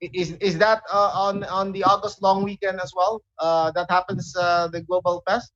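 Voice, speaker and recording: A man with a Filipino accent chatting as a radio show co-host, halting and often pausing to search for words. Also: high voice, level tone, a bit bright, no echo, dull audio. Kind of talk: casual talk show chat.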